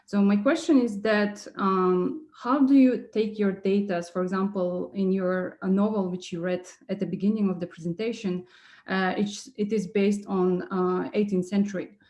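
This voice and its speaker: A woman's voice: mid-range voice, steady tone, moderate pace, dark tone. A woman politely and hesitantly asking questions as a participant in an online workshop over a video call.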